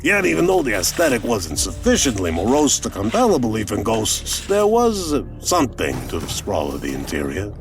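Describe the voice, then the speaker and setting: An actor playing an older private detective, narrating a noir audio drama in a wry, colloquial, world-weary way. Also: male voice, fairly low pitch, wildly swooping pitch. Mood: wry, reflective. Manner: wry, colloquial, deliberate storytelling. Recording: music under the voice. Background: music.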